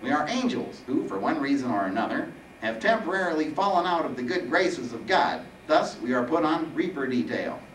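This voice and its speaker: A man's voice: on the high side, expressive, a little fast, hardly any pauses, a bit bright, pitched above the performer's own voice. Man putting on a whiny, nasal, pompous Grim Reaper. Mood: smug, sarcastic. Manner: long-winded, dramatic. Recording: roomy, distant mic, faint noise.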